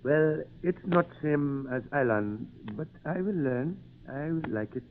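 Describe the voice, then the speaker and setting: An actor playing a loyal, simple-hearted island native who speaks simple broken English in a radio drama. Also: male voice, fairly high pitch, lively intonation, a little slow. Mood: earnest, humble. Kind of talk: 1940s radio drama acting. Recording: noisy, phone-like old radio recording.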